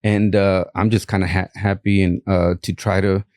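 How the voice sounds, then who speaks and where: Brief: Mexican-accented man, lowish warm voice, hesitant guest speaker on a dry mic. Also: little pitch movement, no echo. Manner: halting, many fillers and restarts. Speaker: art collector guest. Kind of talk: casual interview talk.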